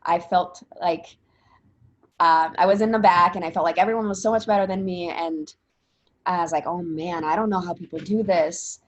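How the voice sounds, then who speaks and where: A woman's voice: fairly low pitch, steady tone, normal speed, highs cut off. A young woman from Italy, talking casually in an interview with many fillers and restarts. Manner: chatty, rambling with frequent fillers and restarts. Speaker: interviewee, spin instructor.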